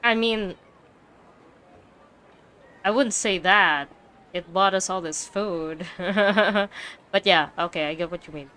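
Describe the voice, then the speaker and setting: A woman's voice: medium pitch, slow pace, pauses often, bright, forceful tone, clear, resonant tone. A woman gaming streamer giving informal, playful playthrough commentary and reading dialogue aloud.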